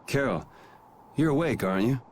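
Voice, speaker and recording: A man voicing a steady young game hero who is dry, casual and decisive, with a caring side. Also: medium pitch, moderate pace, slight background noise, natural voice. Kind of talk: video game voice acting.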